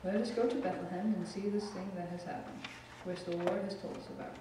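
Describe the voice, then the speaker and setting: A man reading a Bible passage aloud at a church lectern in a steady, measured way. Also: sits high, noisy background. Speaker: scripture reader. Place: church sanctuary. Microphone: lectern microphone, far camera. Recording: echoey church from far off.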